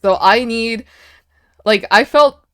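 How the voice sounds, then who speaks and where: This is a young woman with an American accent, chatting with her viewers in a smooth, clear voice. She giggles a lot and often stops to restart a sentence. The sound is dry and close to the mic. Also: on the high side, slow, a bit bright, dry recording. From United States. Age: young adult. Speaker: streamer. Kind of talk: casual chat with viewers.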